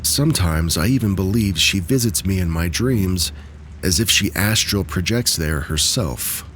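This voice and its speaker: A man with a very low voice reads eerie first-person stories in a scripted, measured way, easing his pace whenever the suspense builds. A faint hiss sits under the recording. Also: expressive, a bit bright. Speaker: narrator of submitted stories. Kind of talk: scripted narration of true spooky stories.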